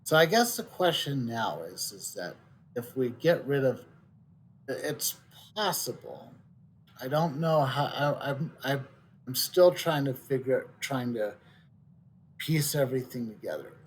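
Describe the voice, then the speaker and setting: A man giving casual live commentary on a game, thinking aloud in a pensive, halting way. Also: medium pitch, lively intonation, slight background noise. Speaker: game streamer. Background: game music.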